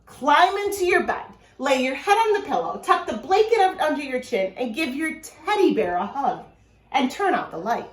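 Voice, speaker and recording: A woman voicing a doting, excitable old granny from a children's storybook, coaxing fondly and exclaiming. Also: high voice, moderate pace, roomy sound, distant mic. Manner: shrieks in astonishment, coaxes fondly.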